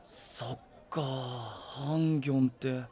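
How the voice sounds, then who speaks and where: A man's voice: medium pitch, steady tone, takes it slowly, mellow, breathy edge. A man playing a naive, good-hearted young knight in an anime-style voice drama, talking in a boyish, casual, exclamatory way. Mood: naive, chatty. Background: music.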